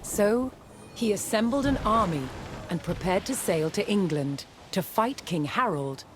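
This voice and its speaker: A woman narrating a scripted history documentary in a measured, dramatic storytelling style. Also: mid-range voice, expressive, noisy background. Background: loud music behind the voice.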